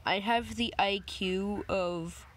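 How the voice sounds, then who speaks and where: A young woman casually commenting on a driving game, voice a little raspy from just waking up, rambling in a playful way. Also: mid-range voice, steady tone, slow, a little hiss or hum. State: slightly raspy, just woken up. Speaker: gameplay video maker. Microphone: phone screen recorder.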